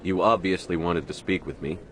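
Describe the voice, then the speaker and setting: Low, blunt military commander played by an actor. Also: male voice, fairly even intonation, a little fast, slight background noise, natural voice. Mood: determined. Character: hard, resolute male human military commander. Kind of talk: dramatic video game acting.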